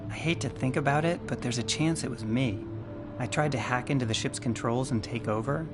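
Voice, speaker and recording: A man voices an anxious, easily exasperated spaceship survivor in a video game. He speaks in a mid-pitched, conversational, dry way, earnest underneath. Game music plays clearly behind him. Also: fairly quick, natural voice. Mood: downcast. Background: game background music.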